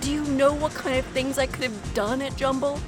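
A woman's voice: high voice, steady tone, normal speed, over music, natural voice. A woman playing an earnest, easily wounded young graduate in an audio drama. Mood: hurt, angry. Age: young adult. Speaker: actor.

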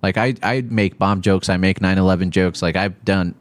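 A man from Iraq talking casually as a comedian guest on a podcast, halting and informal, with some swearing. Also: low voice, steady tone, no room sound.

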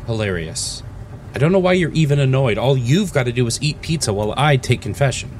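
A man's voice: medium pitch, lively intonation, brisk, darkish tone, close to an everyday voice. Man playing an exasperated, earnest priest, noisy background. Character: earnest, exasperated male priest, idealistic and self-righteous. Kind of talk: audio sitcom acting.

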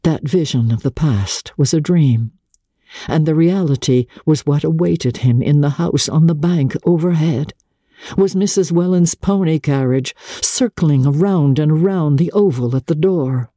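A woman narrating classic literature in a measured, theatrical way, with deliberate pauses between phrases. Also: deep voice, warm tone, no echo, studio quality. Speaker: narrator, audiobook reader.

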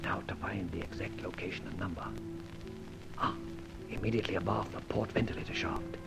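An actor playing a breezy, scheming gentleman adventurer in an old radio serial, with clipped old-fashioned diction. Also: sits low, steady tone, brisk, creaky, loud music behind the voice. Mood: low, conspiratorial. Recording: muffled, noisy vintage radio recording.

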